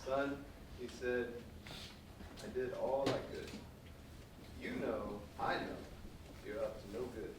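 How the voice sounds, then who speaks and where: A man's voice: medium pitch, slow pace, long pauses, close to an everyday voice. A man playing a storyteller who voices a generous, forgiving father in a theatrical staged reading. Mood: measured, tender. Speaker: narrator, also voicing the father. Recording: very echoey stage, distant mic, background noise.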